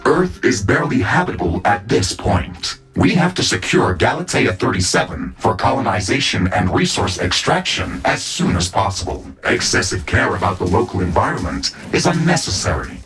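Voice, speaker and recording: An actor playing a stern, by-the-book AI mech suit in a video game, talking in a formal, measured, procedural manner. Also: male voice, lowish voice, very animated, fairly bright tone. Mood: stern challenge. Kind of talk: scripted video game voice acting.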